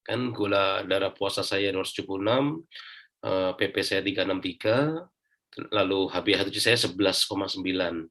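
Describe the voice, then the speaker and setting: A man with a fairly low voice and an Indonesian accent speaks as an interview guest. He gives his own account casually, explaining it step by step in colloquial speech. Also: steady tone, a little fast, a bit bright. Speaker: interview guest giving a personal testimonial. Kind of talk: casual spoken personal account.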